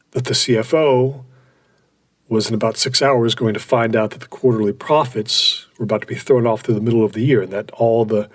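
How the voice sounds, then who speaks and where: An actor playing an anguished, burned-out man in his forties, narrating his own story haltingly in an audio drama. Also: medium pitch, breathy edge, lightly acted. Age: 40s. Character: burned-out, anguished middle-aged office man recounting his breakdown.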